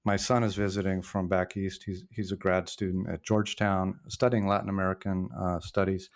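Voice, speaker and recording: A man in his sixties, a former journalist being interviewed, telling stories in a reflective, halting way with frequent restarts. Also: deep voice, flat delivery. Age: 60s. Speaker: podcast guest, former journalist. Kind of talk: casual storytelling interview.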